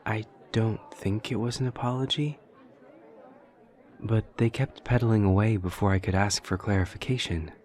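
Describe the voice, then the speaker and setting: Man playing a low-voiced, awkward, deadpan demon classmate. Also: some chatter behind, lightly acted. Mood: earnest, puzzled. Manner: stilted, over-precise, deadpan, hesitant pauses. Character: awkward, literal-minded, earnest immortal male demon college classmate. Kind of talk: intimate audio roleplay acting.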